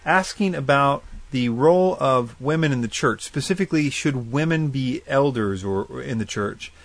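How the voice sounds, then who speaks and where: A man hosts a faith-based call-in style radio program and works through listener questions in a relaxed teaching voice. He is earnest and thoughtful. He weighs his points as he goes and lays them out plainly. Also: medium pitch, dry recording. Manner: earnest and thoughtful, spells out addresses slowly. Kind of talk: casual explanatory Bible teaching.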